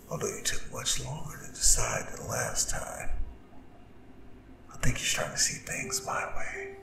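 A man playing a proud, vengeful and seductive demon king in an ASMR audio drama, speaking in a breathy, gravelly half-whisper with slow, grandiose delivery. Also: mid-range voice, noisy background. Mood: menacing, gloating. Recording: roomy reverb, distant, music behind. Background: music.

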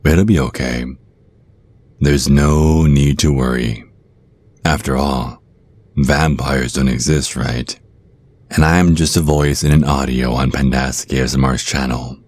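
A man's voice: extremely low pitch, steady tone, unhurried, darkish tone. A man playing a suave, predatory vampire stage magician in a hypnotic ASMR roleplay, taunting and domineering. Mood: soothing, sly.

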